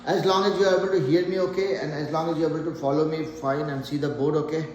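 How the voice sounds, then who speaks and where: A man in his forties teaches math to a live class, talking patiently and checking in with students. His voice sits high for a man. The room rings with a long, echoing reverb. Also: fairly even intonation, fast, a little mellow, highs cut off. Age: 40s. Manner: patient, repeats points, asks students questions. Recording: echoey room, faint background noise.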